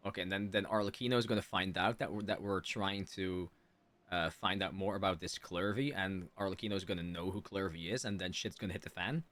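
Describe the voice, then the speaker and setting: A man's voice: sits low, fairly even intonation, brisk, a bit bright. A man giving casual live game commentary, musing aloud and joking in a chatty way. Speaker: game streamer. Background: game music.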